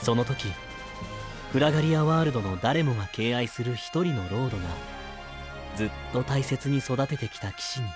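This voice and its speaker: A male narrator tells a fairy tale in a polite, solemn register. His voice sits at a middling pitch with measured phrasing. Music is clearly audible underneath.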